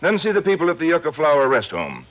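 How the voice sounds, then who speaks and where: Old, muffled radio sound frames an egotistical insurance executive. His mid-pitched voice rises and falls emphatically. He is pompous, impatient and fussy, giving orders in classic radio-drama style. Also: wildly swooping pitch, fast. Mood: bossy, curt. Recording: muffled vintage radio recording.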